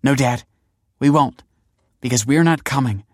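A male narrator plays a grown daughter in an audiobook drama, exasperated and scornful. The delivery is sarcastic and impatient, lifted above the reader's own pitch. The sound is dry and close. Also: animated, moderate pace, dry recording, lightly acted. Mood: firm, exasperated.

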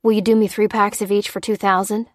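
A woman's voice: medium pitch, level tone, fast, no echo, studio quality, lightly acted. A woman voicing a polite, hesitant, persistent male customer in an audiobook. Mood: placating, strained. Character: placating, persistent man haggling as a customer.

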